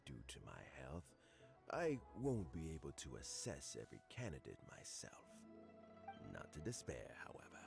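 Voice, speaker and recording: An actor playing a frail, courteous old male statesman in a video game, speaking in a measured, formal way. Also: low-pitched, gravelly, exaggerated voice. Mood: pleased. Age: older. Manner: measured, formal, gently explanatory. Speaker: game character. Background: game soundtrack music.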